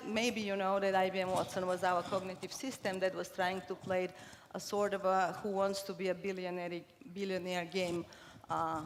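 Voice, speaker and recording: Bulgarian woman speaking in a reverberant hall. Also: on the low side. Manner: hesitant, many fillers and restarts. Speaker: conference speaker. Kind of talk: conference presentation. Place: large conference hall. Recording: reverberant hall, faint noise.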